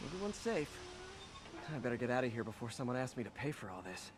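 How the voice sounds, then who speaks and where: An actor playing a young male superhero who talks in quick, self-assured quips, heard as game audio with music behind. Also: medium pitch, very animated, noisy background, lightly acted. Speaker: game character. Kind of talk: video game voice acting.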